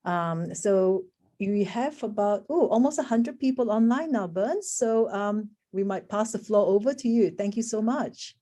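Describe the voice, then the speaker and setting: A woman's voice: mid-range voice, unhurried, mellow. A woman hosting an online session, giving an informal introduction with hesitant asides. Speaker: session host, moderator. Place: video call.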